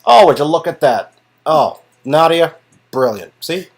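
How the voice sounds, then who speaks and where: A man playing a sarcastic, grumbling ex-agent who talks in a dry, halting, improvised way. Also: on the high side, sing-song, voice pushed up, exaggerated voice.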